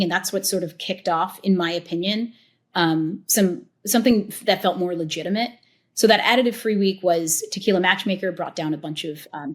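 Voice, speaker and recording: Chatty woman guest, animated. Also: lowish voice. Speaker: podcast guest, tequila educator. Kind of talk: casual interview talk.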